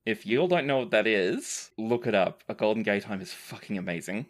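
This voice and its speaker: A woman with an Australian accent hosting a casual Q&A chat, reading out questions and talking in an animated way. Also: a bass voice, brisk. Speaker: podcast host reading listener questions.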